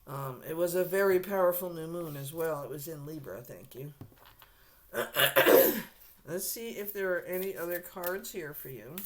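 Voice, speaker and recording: Hoarse woman giving a blunt tarot reading. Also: deep voice, animated, measured pace. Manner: frequent throat clearing; blunt, direct, lecturing the viewer. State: hoarse, losing her voice. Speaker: tarot reader. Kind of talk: casual tarot reading to camera.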